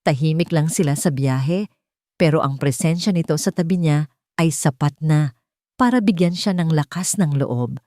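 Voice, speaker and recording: Low-voiced woman narrating a dramatic story. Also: normal speed, dark tone, dry recording, clean studio sound. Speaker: narrator of romance stories.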